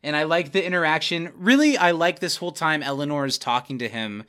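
A man's voice: fairly high pitch, lively intonation, brisk, bright, forceful tone, smooth. A young man hosting a podcast, casually recapping a TV episode and retelling scenes with quoted lines. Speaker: podcast host.

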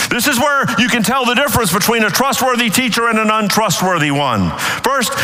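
A middle-aged man preaching a sermon as pastor, with a big, booming voice and an emphatic delivery that rises and falls in intensity. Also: sharp tone. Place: church sanctuary. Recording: long reverb of a large hall.

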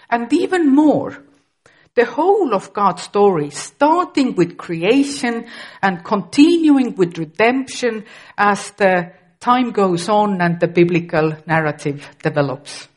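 A woman's voice: mid-range voice, sing-song, unhurried, a little mellow. A middle-aged woman from Estonia, a native Estonian speaker with an Estonian accent, preaching a sermon carefully and with occasional restarts.

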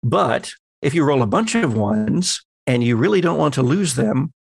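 A man acting as game master, explaining tabletop game rules in a measured, conversational, lightly playful way. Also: mid-range voice, expressive, creaky, slightly rough, no echo, clean studio sound.

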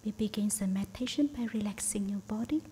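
A woman meditation teacher guiding a session in a hushed, breathy, near-whispered voice, in short phrases with gentle pauses. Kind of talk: guided meditation. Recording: faint rumbly background noise.

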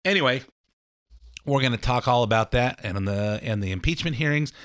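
A man's voice: mid-range voice, animated, normal speed, a bit bright, no room sound, clean studio sound. A middle-aged man hosting a talk radio show with a slightly scratchy voice, rambling in a folksy way with fillers and restarts between ads for his mortgage business. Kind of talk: casual political talk radio monologue.

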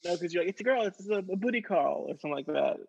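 A man's voice: high-pitched, animated, racing, gravelly, darkish tone, slightly rough, lo-fi sound. A man chatting casually and playfully in a group. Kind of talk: casual joking group chat.

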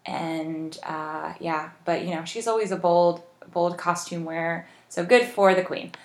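A woman's voice: on the low side, steady tone, unhurried, roomy sound. A woman hosting a podcast, chatting casually through celebrity news with informal, gushing, rambling asides. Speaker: podcast host.

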